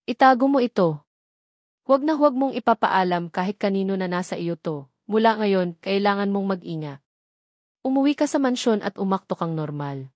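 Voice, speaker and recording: Woman playing a cautious male investigator, Filipino accent, firm, serious, clear delivery, dramatic storybook dialogue, dry recording. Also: mid-range voice, lively intonation, normal speed, darkish tone, no echo, close to an everyday voice. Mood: firm, urgent. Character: serious, cautious man, a sharp-minded investigator.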